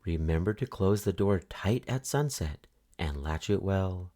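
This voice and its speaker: A man's voice: low voice, expressive, unhurried, warm tone. A caring mother warning her children , as a man puts her on. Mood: earnest. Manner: raised, earnest instructions.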